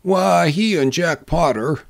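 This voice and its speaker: A man's voice: fairly high pitch, slow pace, creaky, no room sound, clean studio sound, pitched above the performer's own voice. A man voicing a laconic, folksy frontier saloon regular in a storybook Western. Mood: scornful, matter-of-fact. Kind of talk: storybook character voice in a Western tale.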